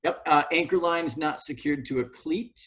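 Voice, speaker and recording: A man moderating a live webinar, relaxed, reading out audience answers with frequent fillers. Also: a little high, moderate pace.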